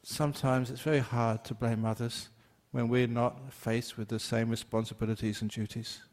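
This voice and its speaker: An older English-born Buddhist monk giving a chatty, joking Dharma talk, answering questions from the floor and laughing often. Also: male voice, mid-range voice, little pitch movement, moderate pace, a little mellow.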